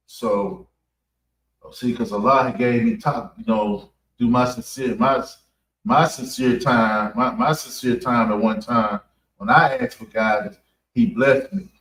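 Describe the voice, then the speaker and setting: An American man giving an off-the-cuff religious address, halting and repeating phrases for emphasis. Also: medium pitch, a bit bright. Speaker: preacher giving a public address at a mosque. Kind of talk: extemporaneous religious talk. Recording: echoey room, distant mic.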